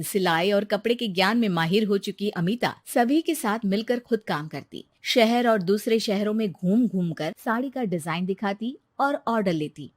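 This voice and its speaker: A woman's voice: medium pitch, dry recording, clean studio sound. A woman narrating a scripted moral tale as storyteller.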